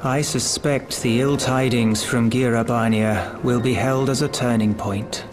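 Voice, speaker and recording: A solemn scholar-mystic voiced by a man speaks very slowly in a grave, ornate manner. It is a brooding fantasy game role over clear music. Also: medium pitch, steady tone, natural voice. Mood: foreboding.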